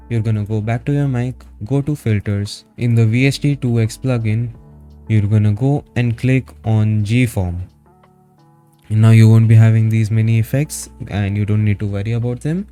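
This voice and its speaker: Low, mellow man giving a tutorial. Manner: casual, instructional. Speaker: streamer giving a software tutorial. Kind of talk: step-by-step screen tutorial narration. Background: background music.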